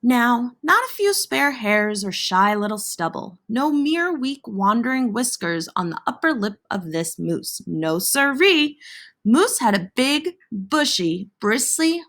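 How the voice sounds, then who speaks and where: Slow, animated woman reading a storybook. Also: mid-range voice. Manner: theatrical, dramatic pauses and emphasis on alliterative words. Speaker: storybook reader. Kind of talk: expressive children's picture book read-aloud.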